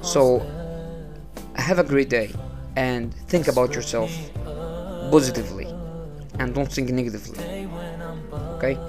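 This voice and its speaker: Slow-talking Egyptian man with halting speech. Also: mid-range voice, music in the background. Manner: halting, repeats words, non-native phrasing. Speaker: podcast host. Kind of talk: casual motivational monologue. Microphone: small cheap mic.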